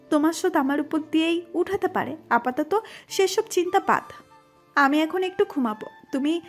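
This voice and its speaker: A woman's voice: high-pitched, close to an everyday voice. A woman playing a teasing, scolding and whiny college-age younger cousin in an audio story. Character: sleepy, chiding teenage younger girl cousin, a college student.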